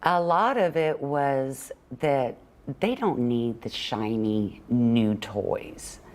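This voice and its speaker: A woman's voice: very deep voice, drawn-out words, a little mellow. An older woman answering conversationally as an interview guest, in a plainspoken, storytelling way. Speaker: interview guest, children's book author and illustrator. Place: TV morning show interview.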